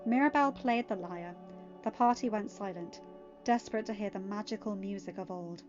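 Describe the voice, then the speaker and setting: A woman's voice: mid-range voice, moderate pace, lo-fi sound. A woman reading as a podfic storyteller. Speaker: podfic narrator. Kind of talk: scripted story narration. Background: faint music.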